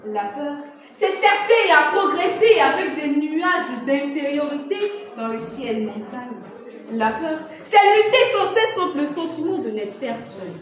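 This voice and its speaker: A young woman reciting slam poetry as a student contestant, in a theatrical, emphatic manner with dramatic swells and hushed drops. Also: sits high, expressive, a little slow. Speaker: student performer in a public-speaking contest. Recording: echoey room, distant microphone.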